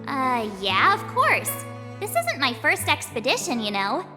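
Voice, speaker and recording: Very high-pitched bubbly teenage adventurer girl. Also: wildly swooping pitch, unhurried, long reverb tail, music in the background, heavily acted. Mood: breezy, defensive. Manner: breezy, slangy, exclamatory. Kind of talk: English video game voice acting.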